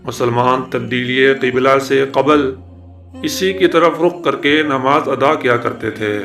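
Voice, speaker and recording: A man with a mid-pitched voice narrates religious history from a script. His delivery is formal and measured, with literary phrasing. Music plays clearly behind him. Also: a bit bright. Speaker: narrator and presenter of a religious history video series. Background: background music.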